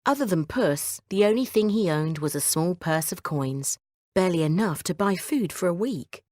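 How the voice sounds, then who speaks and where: A woman's voice: on the low side, lively intonation, moderate pace, a little mellow, no echo, clean studio sound. A woman narrating a scripted bedtime story for children as the storyteller.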